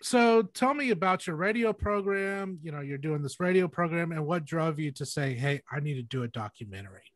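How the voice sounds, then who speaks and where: A middle-aged male interviewer with a slightly high voice and a dry, close sound. He asks casual, conversational questions over a video call. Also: lively intonation, a little fast, no echo. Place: indoor room on a video call.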